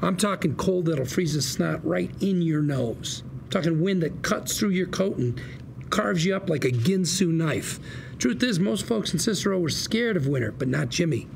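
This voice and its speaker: Gravelly, slick, sardonic schemer, male. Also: fairly high pitch, expressive, normal speed, a little hiss or hum. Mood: storytelling, wistful. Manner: folksy, salesman-like, sardonic. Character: scheming, sarcastic younger brother, a slick fast-talking lawyer. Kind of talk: script table read.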